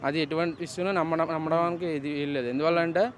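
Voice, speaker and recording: A man answers in a street interview. His pitch is high for a man. He explains his point step by step, circling back over it. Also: fairly even intonation, fast, slight background noise. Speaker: member of the public giving opinion.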